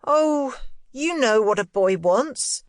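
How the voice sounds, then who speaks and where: A woman voicing a precocious, sweetly evasive young boy with a deliberate, teasing manner for a literary audiobook. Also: on the high side, animated, dry recording, clean studio sound, pitched above the performer's own voice. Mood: languid, evasive. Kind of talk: audiobook character voice, literary ghost story.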